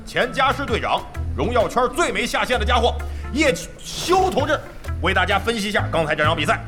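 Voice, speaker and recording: An actor in a radio drama playing a wry, teasing veteran esports team member with a theatrical, exclamatory manner. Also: male voice, high voice, sing-song, brisk, pushed and bright, faint music behind, full character voice. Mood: mock solemn. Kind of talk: Chinese radio drama acting.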